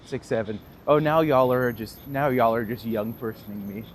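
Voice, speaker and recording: A man's voice: mid-range voice, moderate pace. Chatty Canadian man on a street. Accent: Northern Ontario. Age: 30s. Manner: chatty, laughs often, answers viewer comments. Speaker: tour guide livestreaming. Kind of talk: casual live-stream chat. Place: outdoors on a city street. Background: faint street traffic.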